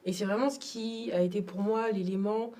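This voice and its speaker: A woman's voice: medium pitch, steady tone, normal speed, dark tone, recorded from a distance. A young adult woman from Togo, telling her personal story as an interview guest in a reflective, hesitant way. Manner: reflective, repeats words, frequent hesitations.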